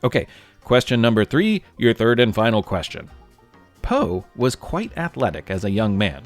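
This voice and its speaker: A man's voice: fairly low pitch, big pitch swings, moderate pace, faint music behind. A man with an American accent narrating a scripted history podcast as host, telling it like a storyteller to young listeners.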